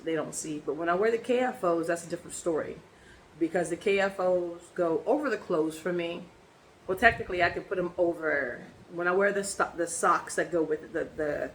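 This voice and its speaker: A woman with a hoarse voice from laryngitis, chatting casually in a personal vlog and rambling with many ums and restarts. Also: sits low, a little hiss or hum, poor audio. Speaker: vlogger.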